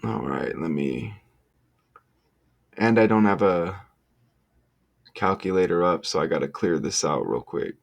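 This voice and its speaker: A man presenting an online video in a casual, off-the-cuff way, halting and emphatic, often checking in with the listener. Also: deep voice, expressive, gravelly. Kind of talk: casual off-the-cuff explainer with numerology.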